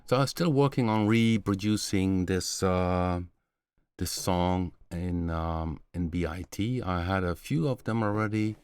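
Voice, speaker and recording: A middle-aged man hosting a casual live stream from a home studio, showing synth sounds in a relaxed, halting way with many fillers. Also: low-pitched, clean tone, dry recording. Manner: relaxed, halting, many fillers, slightly non-native phrasing.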